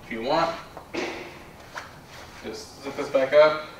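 A man's voice: mid-range voice, fairly even intonation, takes it slowly. Man demonstrating from across an echoey room. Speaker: product demonstrator. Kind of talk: casual product assembly demonstration. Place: bare indoor room with carpet. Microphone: far camera. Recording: roomy echo, distant mic, background noise.

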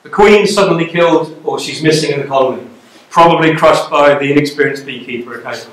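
A man's voice: fairly high pitch, fast talker, fairly bright tone. A man giving a live beekeeping talk to a club, conversational and checking in with the audience, recorded by a camera at the back of a darkened lecture room. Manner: conversational, checks in with "okay?" and "you know?". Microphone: far camera at the back of the room. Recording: very echoey room, camera far off.

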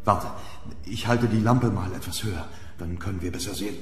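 A man playing an eager, commanding archaeologist in a horror radio play, dramatic and excitable. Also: low voice, brisk, soft background music. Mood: absorbed, assured. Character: eager, commanding male archaeologist exploring a tomb. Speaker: audio drama actor. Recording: roomy, long echo, background noise.

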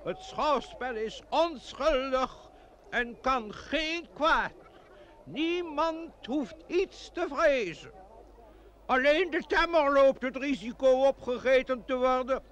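A man's voice: very high-pitched, expressive, takes it slowly, a bit bright, exaggerated voice. A pompous village mayor in an old radio play. He is stubborn and hesitant, over a faintly noisy recording. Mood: pompous, ceremonious.

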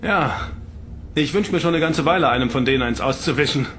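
A man's voice: mid-range voice, fairly quick, a bit bright, slight background noise, natural voice. A man playing a bold, sceptical, defiant prisoner in a sci-fi radio drama.

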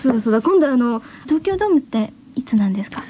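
A woman's voice: on the high side, big pitch swings, racing. A woman hosting a radio show, asking her guest questions in a chatty, giggly, girlish way. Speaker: radio host. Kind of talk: casual radio interview. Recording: dull 1980s radio air-check with hiss.

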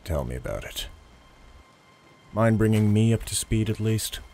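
Casting: male actor for weary, principled detective, low gravel, dry and terse, audio drama. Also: animated, unhurried, warm tone, slight background noise. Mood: businesslike, weary.

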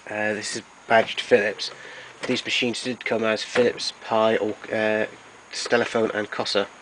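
A man giving an informal, matter-of-fact demonstration as a collector, explaining things in a halting way. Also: lowish voice, flat delivery, noisy background. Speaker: collector presenting his tape recorders. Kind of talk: informal product demonstration narration.

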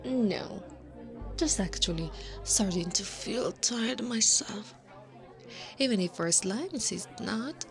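Woman voicing older female slime monster, ASMR roleplay, teasing, playfully commanding, breathy half-whispers, unhurried pace, clear background music. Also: mid-range voice. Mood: reassuring, coaxing. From Italy. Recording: music behind the voice.

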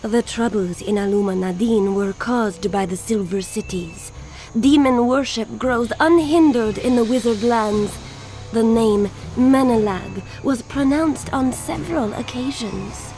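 An actress playing a devout young acolyte in a fantasy game, deferential and earnest. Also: female voice, a little high, a little slow, dark tone. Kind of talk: dramatic fantasy game dialogue. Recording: music under the voice.

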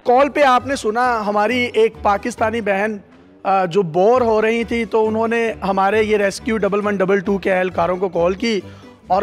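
A man in his thirties with a Pakistani accent, presenting and interviewing playfully as a reporter on a handheld mic. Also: high-pitched. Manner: playful, teasing. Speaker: reporter hosting a segment. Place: emergency call-centre control room. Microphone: handheld reporter microphone. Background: background music.

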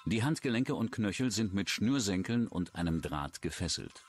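A man's voice: fairly low pitch, animated, normal speed, noisy background. A man reading scripted true-crime narration as a documentary narrator.

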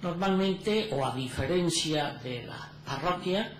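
A man giving an academic lecture at a conference, measured and methodical, with frequent fillers and self-corrections. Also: a little high. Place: conference hall. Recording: echoey hall with faint background noise.

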